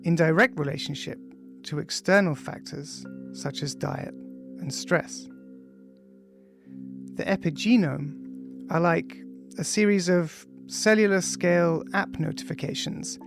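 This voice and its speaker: A man, the author, introducing and reading his own audiobook, speaking casually with frequent ums and pauses. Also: a little high, creaky. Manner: casual, hesitant, many ums between phrases. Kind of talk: audiobook introduction, loose spoken then read text. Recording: music playing faintly behind.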